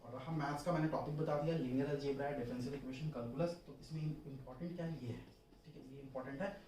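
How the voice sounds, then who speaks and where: A man's voice: mid-range voice, fairly even intonation, fast talker, dark tone. A young man giving an informal exam strategy lesson, instructive and colloquial, repeating points for emphasis. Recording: roomy, distant mic, faint noise.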